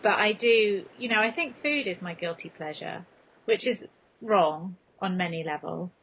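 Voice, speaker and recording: A woman's voice: mid-range voice, normal speed, slight background noise. An English woman chatting casually and frankly as an interview guest, self-deprecating in the way she talks. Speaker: guest author being interviewed. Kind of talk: casual chat. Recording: dull, lo-fi early podcast recording.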